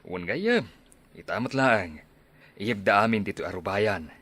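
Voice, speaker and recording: A man acts out a village father in a radio drama. He is a rural farmer with a low voice, talking in everyday dialogue. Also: lively intonation, a little slow, a bit bright. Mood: chatty. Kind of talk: Ilocano radio drama acting.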